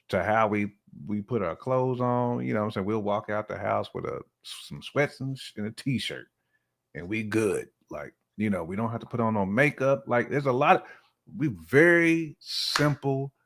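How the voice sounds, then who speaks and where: A man's voice: mid-range voice, a bit bright. A man co-hosting a podcast in casual, colloquial conversation, repeating himself for emphasis. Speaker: podcast co-host.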